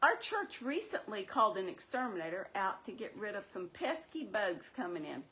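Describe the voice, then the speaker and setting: A woman's voice: medium pitch, sing-song, moderate pace, sharp tone, poor audio. A woman playing a sensible female puppet in a scripted church puppet show, explaining things with wry, chiding teasing.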